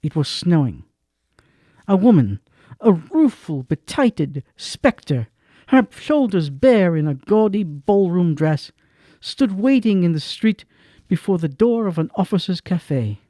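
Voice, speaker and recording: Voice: mid-range voice, big pitch swings, takes it slowly, warm tone, no room sound, studio quality. A narrator telling a dramatic story in an audio drama. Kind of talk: scripted narration.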